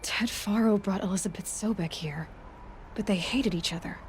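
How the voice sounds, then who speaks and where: An actress playing a young explorer in a video game, musing quietly to herself in a hushed, reflective way. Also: female voice, mid-range voice, normal speed, a little hiss or hum, close to an everyday voice. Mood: pensive. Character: young woman explorer, pensive and wondering.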